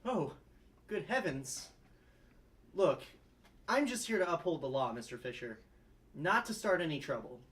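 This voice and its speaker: Young sitcom lawyer, a man acting: polite, hesitant, earnest, higher-pitched male voice, slightly distant. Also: very animated, measured pace, long pauses, fairly bright tone. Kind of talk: sitcom table read.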